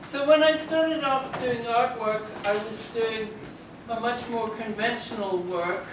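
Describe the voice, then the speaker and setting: A woman's voice: a little high, a little slow. British-accented woman artist, echoey gallery. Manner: chatty, storytelling, occasional fillers. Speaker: exhibiting artist. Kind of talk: informal artist talk. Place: gallery room, speaking without microphone. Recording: echoey room from far off, noisy.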